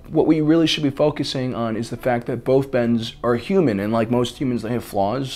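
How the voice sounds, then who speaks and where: A man with a slightly raspy voice hosts a scripted video essay. His pitch sits in an ordinary middle range, and he keeps a dry, mock-serious tone through his jokes. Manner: deadpan, mock-serious delivery. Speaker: host.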